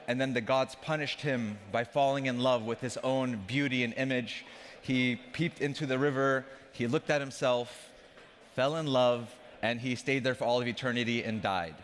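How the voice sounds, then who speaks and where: Joking male keynote speaker in a big hall. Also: mid-range voice. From Afghanistan. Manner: joking, conversational, addresses the crowd directly. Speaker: conference speaker, company CEO. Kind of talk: conference keynote talk. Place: large conference hall stage. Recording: long reverb of a large hall.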